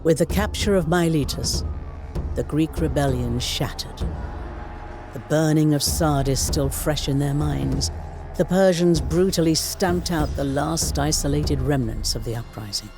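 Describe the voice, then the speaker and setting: Grave, theatrical ancient war chronicler. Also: male voice, on the high side, measured pace, vocal fry. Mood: solemn, dramatic. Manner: grave, theatrical storyteller with rhetorical flourishes. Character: male ancient chronicler recounting war with solemn relish. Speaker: narrator of game cutscenes. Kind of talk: dramatic scripted historical narration. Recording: studio voice-over under prominent music.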